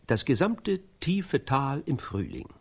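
An actor playing an intense man who grimly recounts atrocities in a radio drama, speaking in deliberate, halting phrases with a husky voice. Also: medium pitch, very animated, a little mellow, exaggerated voice. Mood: grave, hushed. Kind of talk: German radio drama dialogue.

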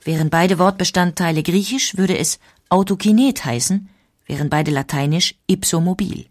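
A woman's voice: on the low side, moderate pace. A woman narrating a scripted documentary.

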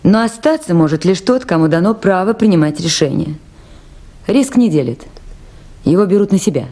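An actress playing a resolute, earnest woman in charge of a river station in a radio play, speaking firmly and with measured authority. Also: on the low side, expressive, warm tone. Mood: earnest, pleading. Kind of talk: 1980s radio play acting. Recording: faintly noisy 1980s radio drama recording.

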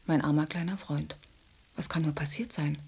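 An actress playing a self-possessed, comfort-loving female cat in a radio drama, musing in a genteel, theatrical way with a husky, breathy voice. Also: sits low, fairly even intonation, moderate pace, a little mellow, full character voice. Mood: musing, cosy.

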